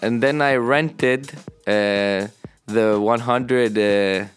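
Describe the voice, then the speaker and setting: A man from Canada and Greece giving casual interview answers as a DJ, often halting to search for words. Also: medium pitch. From born in Canada, moved to Greece at thirteen. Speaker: DJ and music producer. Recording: music playing underneath. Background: music.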